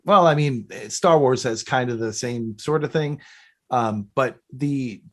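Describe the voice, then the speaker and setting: A man's voice: medium pitch, unhurried. A man hosting a podcast in casual fan chat, rambling and often cutting into his own sentences. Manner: rambling, self-interrupting. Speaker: podcast host.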